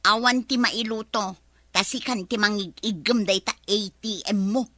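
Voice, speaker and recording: An actress with an Ilocano accent playing a dry, defiant, long-suffering wife in a radio comedy drama. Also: female voice, medium pitch, moderate pace, bright, forceful tone, no echo.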